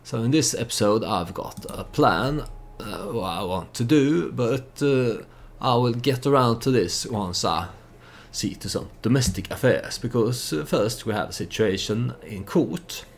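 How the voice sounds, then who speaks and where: A man's voice: medium pitch, animated, measured pace. A man giving casual, storytelling commentary on a game he plays, hesitant and thinking aloud as he goes. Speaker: let's play host and storyteller.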